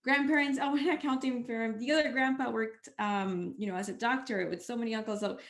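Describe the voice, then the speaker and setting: A woman's voice: fairly high pitch, brisk, echoey room. A young woman from the Dominican Republic, a startup co-founder answering interview questions with lots of energy. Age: young adult. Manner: energetic, lively.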